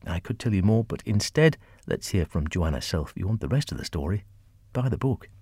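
Radio presenter, male, lowish voice, conversational questions, dry studio sound. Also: very animated, brisk, warm tone, slightly rough, no echo. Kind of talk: radio interview.

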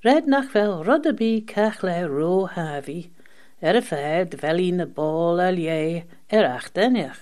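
A woman's voice: on the low side, animated, unhurried, darkish tone. A woman presenting a scripted radio magazine programme.

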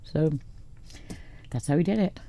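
A woman's voice: low-pitched, lively intonation, a little slow, mellow. A woman from Wales in her later years, a radio guest chatting casually about her life, rambling with lots of restarts. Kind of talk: casual interview chat.

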